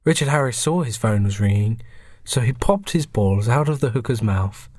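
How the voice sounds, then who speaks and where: A man narrates improvised comedy as a podcast host. His mid-range voice has a deadpan storybook delivery. The recording is close and dry. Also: medium pitch, moderate pace, dry recording.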